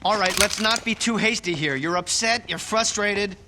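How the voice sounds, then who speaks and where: A slippery corporate chairman, played by a man, talking in a slick, placating way. His voice sits a little high. The game audio carries clear background noise. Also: moderate pace, close to an everyday voice. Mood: nervous. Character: smooth scheming male corporate chairman. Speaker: corporate executive.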